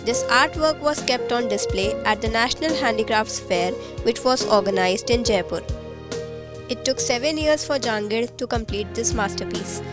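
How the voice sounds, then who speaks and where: A woman's voice: a little high, lively intonation, normal speed. A woman narrating a news feature from a script. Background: music.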